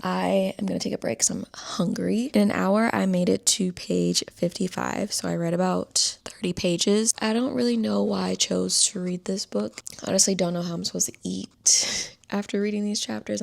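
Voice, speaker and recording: Chatty young woman with vocal fry. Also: mid-range voice, darkish tone. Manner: chatty, often drops to a whisper. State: stuffy-nosed. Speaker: vlogger. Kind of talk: casual vlog chat.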